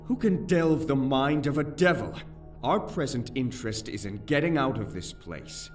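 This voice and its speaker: A man's voice: mid-range voice, animated, moderate pace, natural voice. An actor playing an eloquent, anxious young nobleman in a fantasy audio drama, with theatrical, archaic phrasing. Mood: urgent, resolute. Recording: music bed behind the voice.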